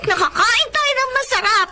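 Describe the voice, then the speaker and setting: A woman's voice: very high voice, background noise, full character voice. A woman playing a sweet, devoted schoolgirl little sister, girlish and affectionate, in a Filipino radio drama. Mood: delighted.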